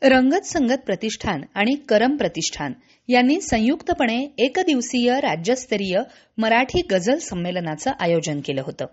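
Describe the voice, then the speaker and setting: A woman reading a scripted regional radio news bulletin in a formal, measured way. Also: fairly high pitch, highs cut off.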